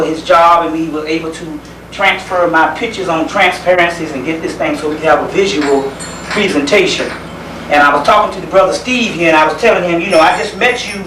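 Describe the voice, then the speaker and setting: A man from South Carolina with a Southern US accent, giving an informal lecture in a folksy, conversational manner with pauses and repeated phrases. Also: a little high, fairly bright tone. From South Carolina, United States. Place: indoor lecture gathering. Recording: roomy, distant microphone, faint background noise.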